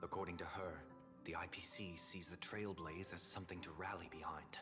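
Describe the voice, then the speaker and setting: An actor playing a composed, wary strategist in a space fantasy video game, speaking in a measured, analytical way. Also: male voice, low voice, steady tone, over music, natural voice. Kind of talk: scripted video game dialogue.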